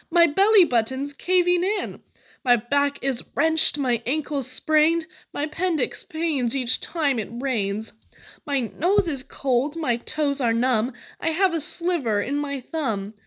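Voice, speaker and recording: A woman voicing a little girl who whines melodramatically about being sick, in a children's poem reading. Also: high-pitched. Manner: melodramatic complaining. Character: whiny dramatic little girl faking endless illnesses.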